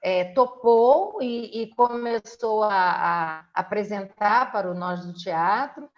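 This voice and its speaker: Slow-speaking Brazilian woman, muffled audio. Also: medium pitch, expressive. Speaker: interviewer. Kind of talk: conversational interview, recounting.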